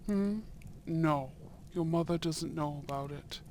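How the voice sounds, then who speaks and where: A man playing a young, courteous and shrewd Edwardian viscount in a romantic audio roleplay, with formal, deliberate phrasing and soft murmurs. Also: fairly high pitch, a little hiss or hum. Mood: grave, confiding.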